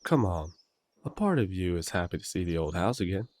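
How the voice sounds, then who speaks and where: A man's voice: deep voice, expressive, a little fast, darkish tone, dry recording. A man playing a cheery, blunt country werewolf big brother in an immersive audio drama, with a folksy, teasing, hearty way of talking.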